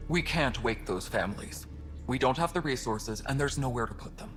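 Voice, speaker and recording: A man's voice: mid-range voice, expressive, normal speed, fairly bright tone, faint music behind, close to an everyday voice. A man playing a pragmatic, frustrated space station superintendent in a video game. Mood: firm. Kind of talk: video game dialogue acting. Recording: faint background ambience.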